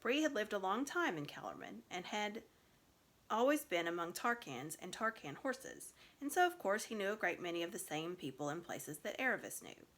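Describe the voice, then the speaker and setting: Bright, expressive woman reading a story. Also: medium pitch, moderate pace. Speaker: audiobook reader.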